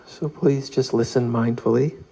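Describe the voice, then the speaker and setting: A man leading a guided meditation, reading aloud calmly in measured phrases with long pauses. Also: medium pitch, vocal fry, slightly rough, muffled recording. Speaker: meditation leader.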